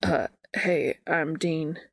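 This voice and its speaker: An awkward, blunt guy from a fan fiction story, voiced by a woman, speaks low with a gravelly fry. His talk is hesitant and slangy, and the sound is dry and close. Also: fairly even intonation, extremely slow, dry recording, exaggerated voice. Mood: awkward, sheepish. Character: awkward, casual-talking young man, blunt and sheepish. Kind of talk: fan fiction character dialogue.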